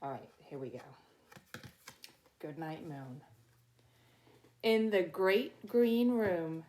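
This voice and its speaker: Middle-aged woman, lowish pitch, unhurried storytime reading, simple direct address to kids. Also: expressive, mellow. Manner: slow, simple, addressing young listeners directly. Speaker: teacher reading a picture book to children. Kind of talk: read-aloud storytime for children.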